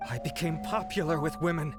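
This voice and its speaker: A man's voice: a little high, fairly quick, loud music behind the voice, close to an everyday voice. An actor playing a transformed man who tells his tragic life story in a reflective, melodramatic way for a game. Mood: proud, rueful. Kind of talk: dramatic game narration.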